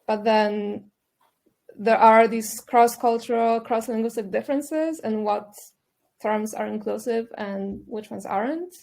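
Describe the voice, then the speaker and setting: Polish woman with a gravelly dark voice. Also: a little high, steady tone, a little slow. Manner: thoughtful, loose with fillers. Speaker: panelist. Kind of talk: panel discussion.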